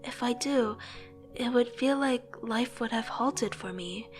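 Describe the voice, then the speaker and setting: A young woman playing an aspiring artist, narrating her own story in a soft, introspective, wistful way. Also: fairly high pitch, takes it slowly, over music. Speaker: narrator and lead character. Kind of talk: reflective first-person narration with dialogue.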